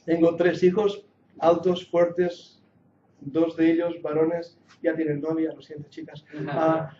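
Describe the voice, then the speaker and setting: A high-pitched middle-aged man with a Catalan accent shaded by English teaches a Bible class to a church group. He jokes with the listeners in a lively, preacher-like way. The recording is echoey and muffled, with a faint hum of ambience behind him. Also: slow pace. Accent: Catalan and English mix in Spanish. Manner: lively, joking, preacher-like delivery.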